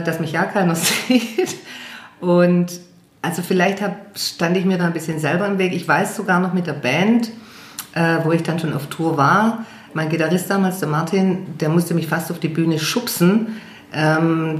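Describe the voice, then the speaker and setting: A German woman singer, chatting in an interview, hesitant and self-deprecating with many fillers. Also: low-pitched. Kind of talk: casual interview chat. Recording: echoey room.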